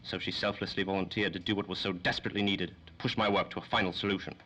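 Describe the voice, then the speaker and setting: A man as a deep-voiced, fussy radio-serial scientist. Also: level tone, rapid-fire, bright, forceful tone, a little hiss or hum. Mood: proud, indignant. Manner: formal, wordy, pedantic. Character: fussy, self-important male scientist doctor. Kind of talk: 1930s old-time radio drama acting.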